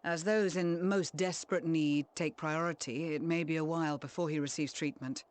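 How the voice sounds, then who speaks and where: An actor playing a calm leader in a game who reassures others while tending the sick. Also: male voice, fairly high pitch, moderate pace, close to an everyday voice. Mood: reassuring.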